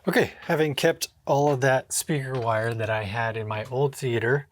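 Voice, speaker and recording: Male DIY vlogger, mid-pitched, informal and self-talking, short exclamations, close dry indoor sound. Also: normal speed, no room sound. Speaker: home theater DIY vlogger. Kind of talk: casual hands-on vlog narration, thinking aloud. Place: indoor home theater room with drop ceiling.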